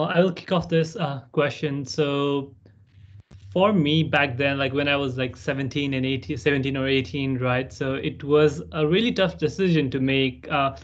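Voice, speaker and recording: A man in his twenties from Nepal gives relaxed advice on a video call. His voice sits a little high, and the sound is dull and muffled. He talks loosely, with filler tags like "like" and "right?". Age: 20s. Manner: chatty, many "like" and "right?" tags. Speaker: alumni panelist.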